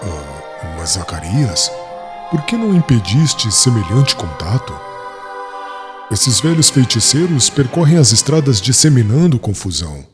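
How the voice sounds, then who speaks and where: A man's voice: mid-range voice, very animated, a little slow, soft background music. A man playing a proud, troubled young rabbi in an audiobook drama, stern and formal in his speech. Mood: reproachful. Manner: indignant, formal, emphatic.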